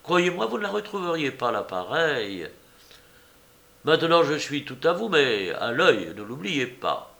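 A man's voice: a little high, sing-song, unhurried, creaky, sharp tone, full character voice. A man voicing a cheeky, mischievous joker in an audiobook, with flowery speech and a teasing, mock-pompous manner. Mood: mock-indignant, teasing. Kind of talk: audiobook character voice.

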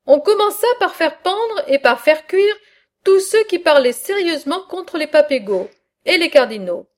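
A woman reading aloud the lines of a bold, mocking male satirist in a philosophical dialogue, in a measured, earnest way. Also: very high-pitched, animated.

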